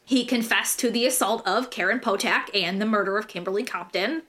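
A woman's voice: medium pitch, fairly quick, fairly bright tone. A young American woman hosting a true crime podcast, telling the story casually in slangy, irreverent language and swearing freely.

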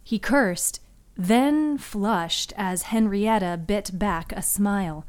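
A woman narrates scripted fiction as a podcast host. She takes her time with each phrase, at an average female pitch. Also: slow pace, clean tone.

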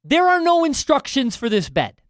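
A man's voice: very high voice, wildly swooping pitch, normal speed, no room sound, studio quality. A man in finance telling a self-deprecating story in casual chat, with lots of restarts and asides. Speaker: finance professional.

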